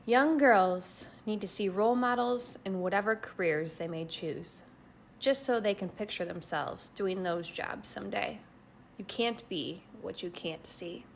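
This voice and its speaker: A woman's voice: medium pitch, a little slow, vocal fry, a little hiss or hum. A woman reading the words of an encouraging female scientist and role model from a picture book, earnestly. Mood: earnest encouragement. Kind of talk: read-aloud quotation.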